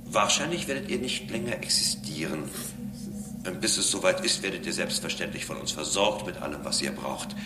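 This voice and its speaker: An actor playing a condescending, devout robot with a formal, measured manner in a German radio drama. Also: male voice, sits low, expressive, distant mic, over music. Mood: solemn. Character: robot convinced it serves a higher Lord, condescending and calm. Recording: roomy echo, clear background hiss.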